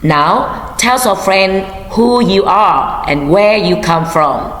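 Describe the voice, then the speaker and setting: A woman English teacher giving calm, measured classroom instructions. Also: mid-range voice. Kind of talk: slow scripted classroom instruction. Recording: echoey hall with faint noise.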